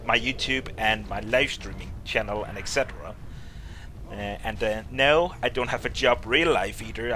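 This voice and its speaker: A young Danish man in his twenties chats through viewer questions in a casual vlog, his voice bright and forward. He wanders through his answers with plenty of ums and restarts. A faint noise floor sits under the recording. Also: medium pitch. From Denmark. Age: 20s. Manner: rambling, frequent fillers and restarts. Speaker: YouTuber.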